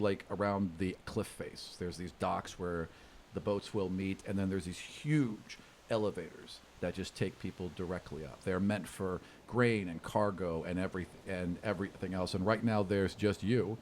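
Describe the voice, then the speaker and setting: A man working as game master, narrating a tabletop game in a casual, halting, descriptive way. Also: low-pitched, steady tone. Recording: faint background noise.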